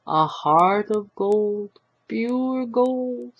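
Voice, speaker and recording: A woman's voice: mid-range voice, lively intonation, extremely slow, vocal fry, highs cut off, slight background noise, voice pushed up, exaggerated voice. A woman voicing a stubborn, sardonic old husband with a Yiddish-inflected accent in a short story reading. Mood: boastful, admiring. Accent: Yiddish-inflected English. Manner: dry, rhetorical grumbling.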